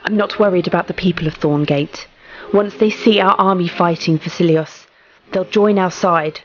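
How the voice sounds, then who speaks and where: A woman's voice: fairly low pitch, moderate pace, lo-fi sound, a little hiss or hum, close to an everyday voice. A woman voicing a determined young warrior queen who speaks firmly and resolutely. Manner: firm, resolute. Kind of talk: fan dub voice acting.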